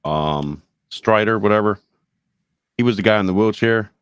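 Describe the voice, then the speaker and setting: A man's voice: fairly low pitch, normal speed, clear, resonant tone, dry recording, studio quality. A man in a casual chat who gropes for words and laughs often.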